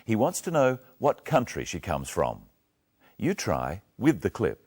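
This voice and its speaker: A man teaching an English lesson, explaining phrases in a slow, deliberate, instructive way. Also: fairly low pitch, wildly swooping pitch, darkish tone, husky. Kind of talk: scripted lesson narration.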